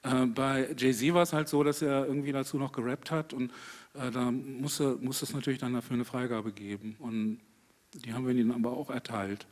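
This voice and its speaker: A man in his sixties, a guest musician in a stage interview, talking in a halting, thoughtful way with many hesitations into a handheld mic. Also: mid-range voice, flat delivery. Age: 60s. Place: indoor stage with audience. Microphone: handheld microphone.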